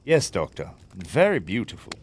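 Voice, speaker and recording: A man's voice: fairly low pitch, huge pitch swings, normal speed, a little hiss or hum. A man playing a proud, hot-tempered wealthy businessman in an audio drama, blustering and formal in manner. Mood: grudging, subdued. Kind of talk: audio theatre acting.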